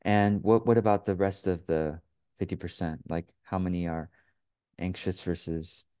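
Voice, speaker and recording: A deep-voiced middle-aged man from Taiwan hosts a video call interview. His questions come out halting, full of restarts and fillers, with an easy laugh here and there. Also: fairly even intonation, mellow, clean tone. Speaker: host, business coach. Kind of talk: conversational interview. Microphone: webcam on a video call.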